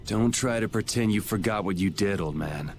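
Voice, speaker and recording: A man's voice: fairly low pitch, normal speed, soft background music, close to an everyday voice. An actor playing a blunt, wry young swordsman who leads his group in a video game cutscene. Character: cocky young swordsman leader.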